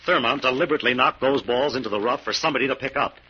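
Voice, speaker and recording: An actor playing a confident, take-charge American crime-fighter in 1940s radio style, brisk and authoritative, on a muffled old recording. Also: male voice, medium pitch, slight background noise, close to an everyday voice. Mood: assured.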